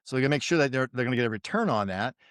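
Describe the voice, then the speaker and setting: A man with a Boston accent talks through his past in a relaxed, self-deprecating way, often pausing on fillers. His voice is smooth and resonant. The sound is close and dry. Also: medium pitch, no echo. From suburban Boston, USA. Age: 50s. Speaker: podcast guest, retired Navy pilot. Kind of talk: casual interview storytelling.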